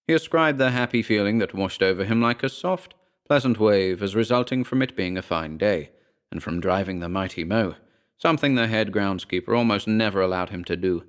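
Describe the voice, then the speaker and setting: Low-voiced male horror story narrator. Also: brisk, smooth.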